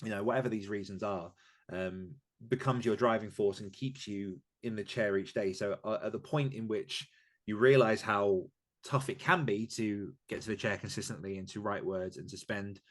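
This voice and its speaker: A man's voice: lowish voice, moderate pace. A man talking as an interview guest, giving informal, candid and emphatic advice as an author in a conversational style.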